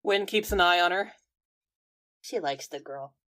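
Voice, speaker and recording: A woman's voice: on the low side, a little slow, fairly bright tone, dry recording, studio quality. A woman casually improvising as a player in a tabletop role-playing game, moving between narration and dialogue.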